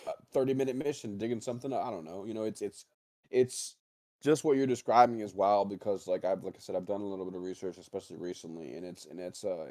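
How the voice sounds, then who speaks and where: Male talk panelist, low voice, dry close sound, casual and rambling, circling back over phrases. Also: dark tone, dry recording. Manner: rambling, halting with repetitions. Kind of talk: casual speculative discussion.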